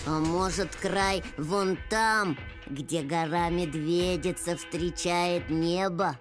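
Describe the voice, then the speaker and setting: A woman's voice: on the low side, takes it slowly. A woman voicing a kind, earnest little polar bear cub boy in a cartoon, sincere and childlike. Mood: hopeful, thoughtful. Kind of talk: animated series voice acting. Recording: music under the voice.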